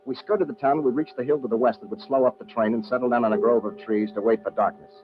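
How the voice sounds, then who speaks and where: An actor playing a brash, wisecracking American adventurer, slangy and clipped, in an old-time radio drama. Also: male voice, mid-range voice, steady tone, fast, lightly acted. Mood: measured recounting. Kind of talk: old-time radio adventure acting with first-person narration. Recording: dull, hissy old radio recording.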